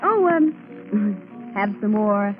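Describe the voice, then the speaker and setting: A woman's voice: mid-range voice, big pitch swings, drawn-out words. An American actress playing a cheerful, spirited young woman sidekick in a 1940s radio drama, with a teasing, chummy manner. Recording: muffled vintage 1940s radio broadcast, music.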